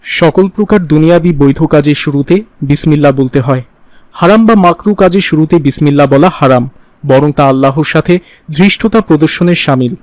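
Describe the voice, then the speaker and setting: Cartoon father, played by a man: high voice, stern yet teaching, dry recording. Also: normal speed, a little mellow, no echo, lightly acted. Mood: instructive. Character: stern but instructive father explaining religious manners. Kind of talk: didactic cartoon dialogue.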